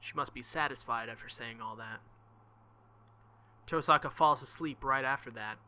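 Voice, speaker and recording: A young man reading a visual novel aloud and commenting, animated and thinking out loud. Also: mid-range voice, normal speed, slight background noise. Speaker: let's play host.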